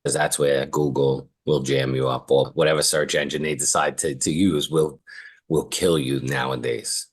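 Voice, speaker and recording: Male podcast host, extremely low voice, informal and conversational, recounts anecdotes and quotes people. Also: steady tone, normal speed, breathy edge.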